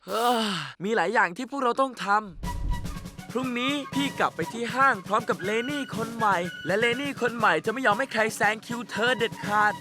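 A woman's voice: on the high side, fairly quick, natural voice. A woman voicing a sensible, take-charge young character in a Thai cartoon dub. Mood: firm, directing. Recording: music behind the voice.

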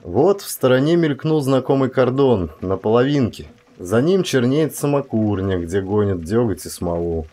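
A man reading literary prose as an audiobook narrator, with measured phrasing and drawn-out emphasis on certain words. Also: mid-range voice, very animated, mellow.

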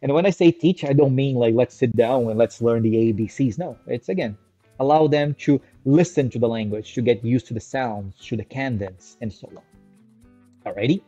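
A man's voice: medium pitch, normal speed, warm tone, no echo, muffled recording, soft background music. A man in his thirties from Brazil, a native Portuguese speaker, giving a casual educational talk on infant care to a desk webcam in a small room, often checking in with "right?" and drawing out his list headings. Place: small indoor room with a screen on the wall.

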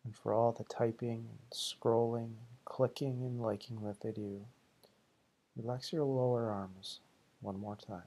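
A man leading a guided meditation in slow, deliberate, soothing short phrases, with a husky, gravelly voice. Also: medium pitch, fairly even intonation, mellow, rough recording.

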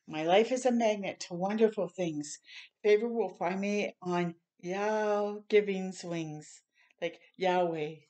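A woman's voice: mid-range voice, slow pace, mellow. An older woman doing a children's storytime, reading a picture book aloud and adding encouraging, chatty asides. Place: small room at home, indoors.

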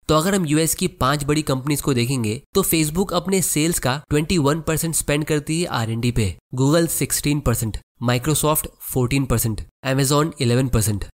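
A man narrating a scripted explainer about analyzing stocks, who addresses viewers as friends and reads checklist questions slowly. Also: fairly high pitch. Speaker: finance educator.

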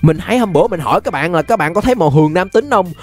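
A young man with a Southern Vietnamese accent giving excited, exclamatory gameplay commentary, repeating phrases and dropping in English interjections. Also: high-pitched, very fast, faint music behind. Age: young adult. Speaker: gaming YouTuber. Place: indoor gaming room.

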